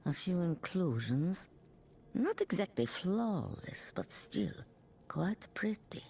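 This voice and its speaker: Low-voiced female gem appraiser. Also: very animated, takes it slowly, creaky, darkish tone, breathy edge, heavily acted. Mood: curious, appraising. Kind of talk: video game voice acting.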